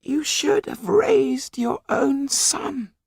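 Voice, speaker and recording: A man playing a tender, grieving old dwarf magician with a hushed, breathy voice and a slow, longing delivery. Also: extremely high pitch, expressive, dry recording, voice pushed up, full character voice. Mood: quiet grief.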